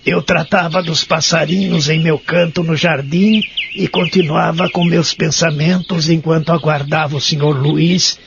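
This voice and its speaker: A man's voice: fairly high pitch, steady tone, unhurried, vocal fry, few pauses, a little hiss or hum. A man acting an anxious, remorseful man of eighty-four from a care home in a Brazilian Portuguese audio drama. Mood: reflective. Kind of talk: audio drama acting and first-person narration. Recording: dull muffled audio with background music.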